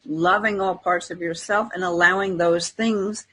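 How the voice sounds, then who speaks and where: Husky-voiced woman in casual spiritual conversation. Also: on the low side, steady tone, measured pace, pushed and bright, poor audio. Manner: reflective, informal, often acts out inner self-talk in quotes. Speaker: spiritual talk show co-host and teacher.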